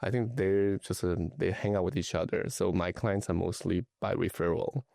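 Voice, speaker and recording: A man's voice: low voice, moderate pace, vocal fry, warm tone, no room sound, clean studio sound. A middle-aged man from Taiwan, a luxury travel advisor speaking as an interview guest in a casual, hesitant way, with many fillers and restarts.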